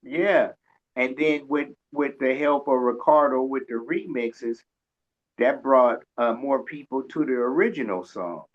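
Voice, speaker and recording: A woman co-hosting an interview with casual, animated storytelling, on a dull lo-fi recording. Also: extremely low pitch, unhurried. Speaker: interviewer, co-host. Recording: dull lo-fi sound.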